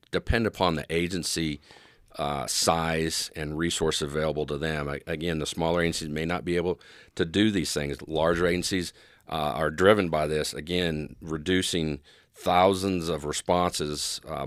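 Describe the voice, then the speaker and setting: A man with an extremely low voice answers as an expert guest in a conversational interview. He is agreeable and measured, hedging and restarting as he explains. The sound is dry, with almost no room. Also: no echo.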